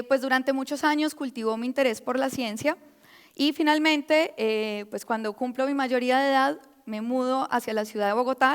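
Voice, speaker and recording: A woman's voice: high-pitched, clean tone. Young Colombian woman giving a talk. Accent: Colombian Spanish. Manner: friendly, frequent hesitations. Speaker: predoctoral researcher giving a talk. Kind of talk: public talk to an audience. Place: lecture hall. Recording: reverberant hall.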